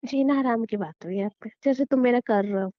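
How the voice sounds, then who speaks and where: A woman's voice: a little high, brisk, no echo, muffled recording, lightly acted. A woman acting as a defiant, demanding young wife in a conversational audio drama, arguing in a stubborn, taunting way. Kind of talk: acted Hindi relationship audio drama, conversational.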